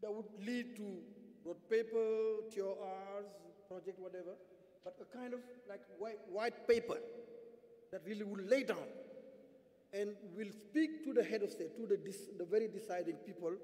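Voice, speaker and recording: A man from Senegal moderating a conference panel from a podium in a large hall, emphatic and halting, with many fillers. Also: sits high, fairly even intonation, dark tone. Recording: hall reverb.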